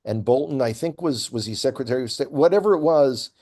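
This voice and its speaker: A man giving conversational political commentary as a guest, speaking in an emphatic, opinionated way and making his points deliberately. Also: mid-range voice, warm tone. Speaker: regular guest commentator on a news update programme.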